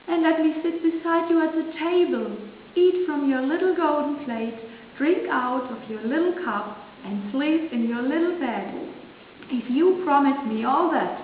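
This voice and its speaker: A woman's voice: sits high, pitched above the performer's own voice. A woman voicing an ugly male frog, an insistent and pleading storybook suitor who calls out his demands. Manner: calling out, demanding, repetitive. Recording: very echoey hall, tinny sound with hiss.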